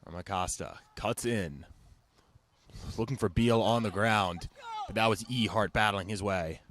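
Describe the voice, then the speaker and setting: A man with a lowish voice gives live soccer commentary for television. He follows the action in short, clipped phrases, as a play-by-play announcer does. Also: unhurried, a bit bright, slight background noise. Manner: clipped, fragmentary calls of the action.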